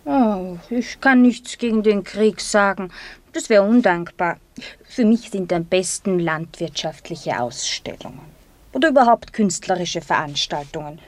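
A woman's voice: medium pitch, lively intonation, a little slow, natural voice. An actress playing a naive, gossipy woman of the street in a radio drama, breezy and colloquial.

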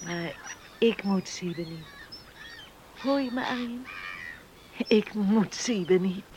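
An actress playing a timid, loving young village sweetheart in a Dutch radio play, soft and hesitant. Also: female voice, medium pitch, slow pace, noisy background, close to an everyday voice. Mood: earnest. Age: young adult.

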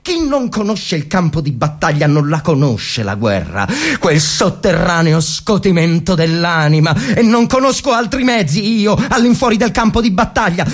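An actor playing a tormented, fervent Italian army officer in the trenches of the First World War, in a theatrical dramatic reading with halting repetitions. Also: male voice, high voice. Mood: frenzied exaltation. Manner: theatrical, halting, repeating phrases, swinging from hushed to frenzied. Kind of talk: dramatic literary reading as monologue.

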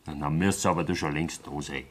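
An actor playing an angry, stubborn young farmer's son with a Bavarian accent in a radio play, blunt and sarcastic. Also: male voice, rumbling low, fairly even intonation, a little fast. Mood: sceptical, sarcastic. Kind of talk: 1970s German radio play acting.

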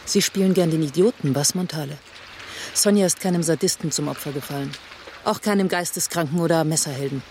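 An actor, a man, playing a tough, driven police investigator in their thirties in a radio crime drama, with a sharp, sarcastic, interrogating manner. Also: on the high side, a little fast, a little hiss or hum, lightly acted. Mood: confrontational.

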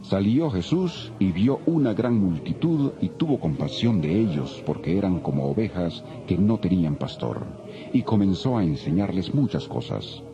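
Male Bible narrator, low and warm, solemn storytelling; lo-fi with faint music. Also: big pitch swings, moderate pace. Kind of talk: dramatized scripted Bible narration. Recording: dull lo-fi audio, faint music.

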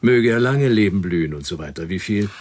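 A sarcastic detective in a radio play. The voice is very deep and a bit rough. Lines come out short, gruff and deadpan. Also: male voice, brisk. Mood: gruff, sardonic. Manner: terse, deadpan. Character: gruff, sarcastic, money-minded male private detective. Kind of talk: radio drama acting.

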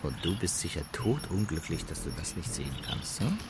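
A lowish, animated male voice plays a sardonic designer in a radio play. He is flippant and teasing, with clear noise around him. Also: brisk. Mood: mocking, exasperated. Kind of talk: naturalistic German radio drama acting.

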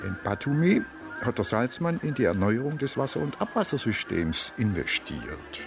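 A puzzled, probing inspector. His gravelly radio-drama voice moves up and down freely, with music running behind it. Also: mid-range voice, sing-song, normal speed. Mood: inquisitive. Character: inquisitive male police inspector, blunt and puzzled.

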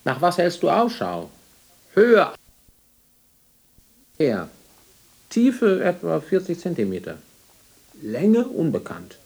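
A performer in an experimental radio drama playing a restless searcher who mutters in husky, creaky fragments. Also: mid-range voice, huge pitch swings, takes it slowly, pauses often, a little mellow, exaggerated voice. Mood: methodical, obsessive.

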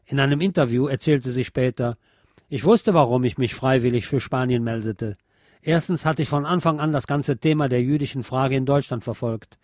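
A man's voice: medium pitch, normal speed, darkish tone, no echo, studio quality. A man reading a scripted history documentary as narrator. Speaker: narrator of a history radio series.

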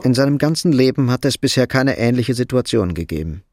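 A man's voice: mid-range voice, lively intonation, fairly quick, a little mellow. A man reading scripted literary narration as the narrator of a radio drama.

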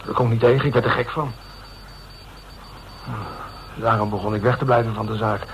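A man's voice: mid-range voice, fairly even intonation, lightly acted. Radio drama role: frightened male colleague played by a man, guilt-laden, stumbling over words, faintly noisy recording. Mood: guilt-ridden, anguished. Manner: halting, stammering, repeats words. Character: frightened, guilt-ridden man confessing to his detective colleagues. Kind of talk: Dutch radio drama acting.

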